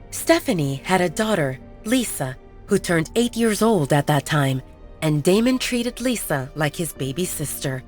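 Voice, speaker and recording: A woman narrating a romance audiobook at a steady storytelling pace. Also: on the low side. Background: soft music.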